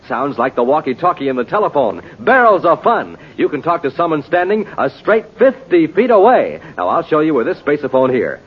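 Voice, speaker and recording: A man announcing and pitching in 1950s radio style, excited, emphatic and scripted for a hard sell. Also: husky. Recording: dull, faintly noisy 1950s radio recording.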